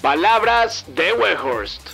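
A man announcing short football news headlines in a slow, clipped, punchy way. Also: high voice, sing-song, gravelly, bright, forceful tone, soft background music.

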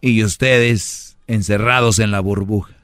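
A man's voice: on the low side, fairly even intonation, measured pace, a bit bright, clean tone, no echo. A man hosting a radio show, teasing callers and lecturing in a mock-preachy way, dragging out words for emphasis. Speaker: radio host.

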